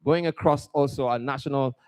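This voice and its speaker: A man's voice: medium pitch, brisk, a little mellow, clean tone. A man working as master of ceremonies at a conference, upbeat and chatty as he welcomes guests and gives housekeeping notes. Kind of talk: conference emcee announcements. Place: conference hall.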